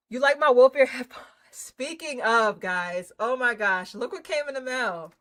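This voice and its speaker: A woman livestreamer chatting casually with her viewers in an excitable, slangy, informal way. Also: high voice, a little slow. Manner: excitable, hyped, effusive; slangy, informal, drops swearing in. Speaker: livestreamer hosting a game stream.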